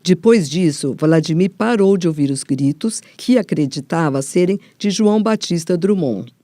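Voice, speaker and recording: A woman's voice: fairly low pitch, animated, normal speed, dark tone, no room sound, clean studio sound. A Brazilian woman presenting a radio documentary, reading scripted narration and putting questions to guests. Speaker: presenter and interviewer.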